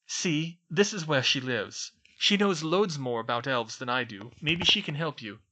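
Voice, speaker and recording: A man voicing a nervous young wizard boy who stammers and talks in urgent hushed tones. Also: fairly high pitch, expressive, unhurried. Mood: earnest.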